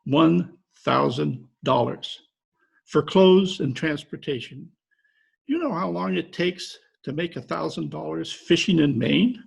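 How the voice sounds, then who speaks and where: A rueful, duped fisherman in an old radio drama, acted by a man. His fairly high voice is heavily creaky, and he talks slowly and haltingly. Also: full character voice. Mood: aggrieved. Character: jilted, gullible, aggrieved fisherman from Maine. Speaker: actor in an amateur radio-play reenactment. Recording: remote home recording over video call.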